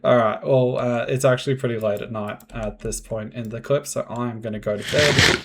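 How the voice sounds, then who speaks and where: A man hosting his own Minecraft building videos, chatting casually in a rambling, monotone way and laughing often. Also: moderate pace, vocal fry, heavy background noise. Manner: monotone, fairly even pitch. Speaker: Minecraft builder and channel host. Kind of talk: casual gameplay commentary and voiceover.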